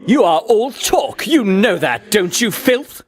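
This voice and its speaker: Vainglorious male game champion: scornful, grandiose, slow declamatory delivery with sweeping, animated intonation. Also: high voice, breathy edge, exaggerated voice. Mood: scornful, boastful. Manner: grandiose, declamatory, archaic heroic diction. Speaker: voice actor. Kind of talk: theatrical video game battle lines.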